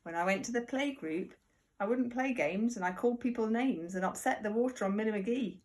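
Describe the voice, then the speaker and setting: A woman reading a picture book aloud to young children like a primary teacher, expressive and deliberate, with chatty asides. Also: fairly high pitch. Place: at home.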